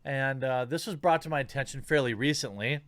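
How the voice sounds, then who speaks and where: A man talking as an online commentator in measured, deliberate commentary. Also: mid-range voice, fairly bright tone, dry recording.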